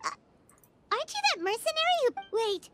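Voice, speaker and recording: A woman voicing a tiny, chirpy, childlike fairy companion in a video game, squeaky and excitable. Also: fairly quick, noisy background. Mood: curious, surprised. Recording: music playing under the voice.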